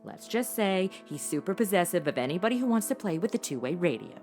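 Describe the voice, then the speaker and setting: An actress playing a sassy, impatient modern twenty-something in a comedy audio drama, flippant and gossipy with plenty of slang. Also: female voice, on the low side, sing-song, fast, a little mellow, soft background music. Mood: whiny, chatty.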